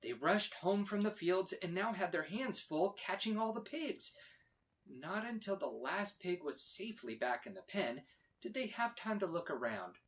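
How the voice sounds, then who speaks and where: Animated man reading a children's story. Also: sits high, normal speed. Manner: expressive, dramatic emphasis. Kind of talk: animated read-aloud narration for children.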